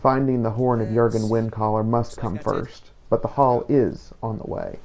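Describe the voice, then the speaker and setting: A man narrating a scripted first-person journal of a game playthrough in a measured, formal storytelling manner. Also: on the low side, gravelly, darkish tone. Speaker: host and player of a game playthrough.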